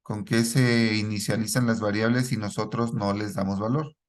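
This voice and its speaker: A middle-aged man teaching a programming class, quizzing students and checking in with a quick "¿sí?" after each point. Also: lowish voice, steady tone. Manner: asks the class questions and repeats their answers, ends lines with a checking "¿sí?". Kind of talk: live classroom lesson.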